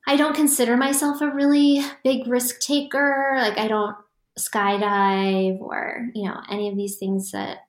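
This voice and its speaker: A calm, even-keeled woman reflects on her path as a founder during a podcast interview. Her delivery stays fairly flat in pitch, and the recording picks up a roomy echo. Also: unhurried. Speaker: startup founder guest.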